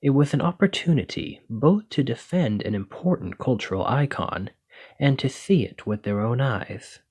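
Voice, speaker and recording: A man playing a gentle, bookish dragon-blooded librarian and devoted boyfriend in an ASMR roleplay, speaking in an earnest, reassuring way. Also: mid-range voice, animated, normal speed, husky. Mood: earnest, explanatory.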